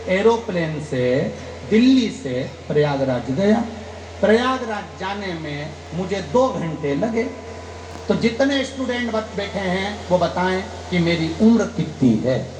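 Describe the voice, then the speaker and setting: A man's voice: big pitch swings, slow pace, echoey room, background noise. A man giving a devotional sermon, telling stories and acting out their dialogue with emphatic rises. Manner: storytelling, acting out dialogue, rising emphatic pitch. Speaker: kathavachak preacher. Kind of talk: devotional katha sermon with anecdotes.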